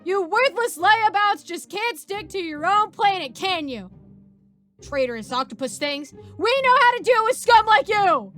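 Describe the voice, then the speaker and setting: A woman voicing an eager, gung-ho private in a space army who shouts military replies. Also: very high-pitched, animated, unhurried, soft background music, pitched above the performer's own voice, heavily acted.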